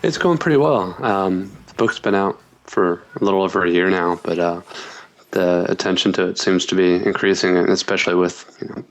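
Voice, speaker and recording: A man, a journalist and author, answering interview questions in a measured, thoughtful way. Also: low voice, rough recording.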